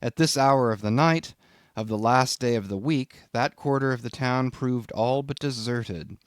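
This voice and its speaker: A man reading a literary audiobook as scripted narration, in an expressive, measured storytelling manner. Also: medium pitch, no echo, clean studio sound.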